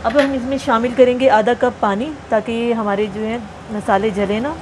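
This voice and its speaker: A woman's voice: on the high side, steady tone, background noise. Chatty woman teaching a home recipe. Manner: chatty, instructive, lists ingredients slowly with repeats. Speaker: cooking channel host. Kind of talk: home cooking tutorial voice-over. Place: home kitchen.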